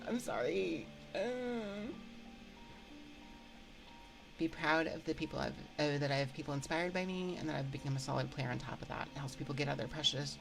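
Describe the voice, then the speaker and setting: A woman hosting a casual livestream chat, thinking aloud in a hesitant, halting way into a close microphone. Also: low voice, creaky, poor audio. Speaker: livestream host. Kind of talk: casual livestream chat, answering viewer comments. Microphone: close binaural microphone.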